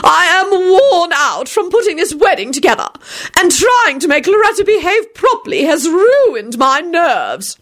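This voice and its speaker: A woman's voice: very high voice, big pitch swings, measured pace, a bit bright, voice pushed up, heavily acted. A woman voicing an overwrought, dramatic aristocratic lady in an audiobook. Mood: hysterical. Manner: dramatic, shrieking. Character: overwrought, theatrical aristocratic lady, shrieking and fretful.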